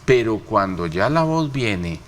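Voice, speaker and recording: A man's voice: lowish voice, sing-song, slow pace, a little hiss or hum. A man from Rica, giving emphatic interview answers full of rhetorical questions. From Costa Rica. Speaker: interview guest, human rights official.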